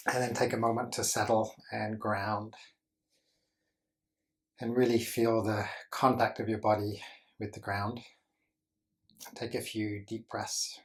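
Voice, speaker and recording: A man with a low-pitched voice guides a meditation. His intonation stays flat and steady throughout. He gives gentle instructions as a teacher would, pausing deliberately between short phrases.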